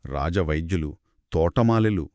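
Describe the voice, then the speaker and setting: A man's voice: sits low, lively intonation, unhurried, darkish tone, dry recording, studio quality. A man co-hosting a conversational story discussion in an analytical, explanatory way. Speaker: podcast co-host.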